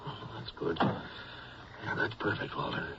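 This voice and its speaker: An actor playing a resentful, sardonic fishing boat hand in a radio drama. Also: male voice, takes it slowly, lightly acted. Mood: sarcastic. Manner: grumbling, sardonic, colloquial. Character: bitter, resentful sailor, second man on a fishing boat. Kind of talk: first-person radio drama monologue and dialogue. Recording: dull radio recording, loud background noise.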